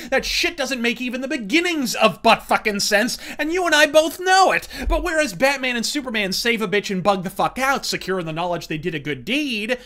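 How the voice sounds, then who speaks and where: A man's voice: sits high, bright, forceful tone. A man hosting a scripted comedic commentary, delivering emphatic, profane, wordy rants as a reviewer. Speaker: host and reviewer.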